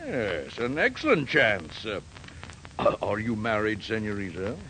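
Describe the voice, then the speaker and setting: Hot-tempered oily flirt, forceful bright voice, creaky edge, pompous, 1940s radio sitcom, slight hiss. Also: male voice, on the low side, very animated, a little slow, full character voice, low-quality recording. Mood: oily, flirtatious. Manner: pompous, oily chuckling flirt who blusters. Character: blustery, lecherous, flirtatious male costume judge with hot temper. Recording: hissy 1940s radio recording.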